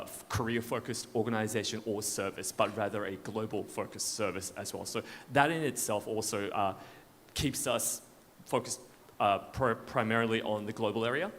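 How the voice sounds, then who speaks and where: A man's voice: on the low side, fairly bright tone. A man on a conference panel answering an audience question in an informal way. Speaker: panelist representing a blockchain foundation. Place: large event space at a conference. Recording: echoey noisy hall, event PA.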